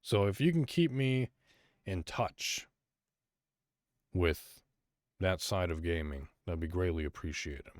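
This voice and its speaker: Deep-voiced American man rambling on a podcast. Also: takes it slowly, pauses often, no room sound, clean studio sound. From Illinois, USA. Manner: rambling, hesitant, many fillers and restarts. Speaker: podcast host. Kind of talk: solo casual podcast monologue.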